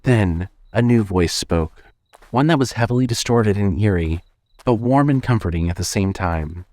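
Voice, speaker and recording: A man narrates a scripted horror story in a fairly low voice. His delivery is measured, like a storyteller's, and the recording is very dry with no room sound. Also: animated, dark tone, no echo.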